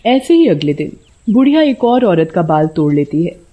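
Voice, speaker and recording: A woman's voice: lowish voice, very animated, moderate pace. A woman narrating a scripted bedtime story as storyteller. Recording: dull lo-fi audio.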